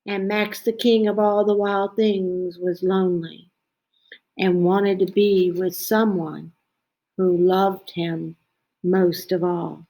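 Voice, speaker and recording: A woman reading a children's picture book aloud in a dramatic, expressive way, stretching out phrases and dropping into hushed tones. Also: lowish voice, slow. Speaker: storybook reader.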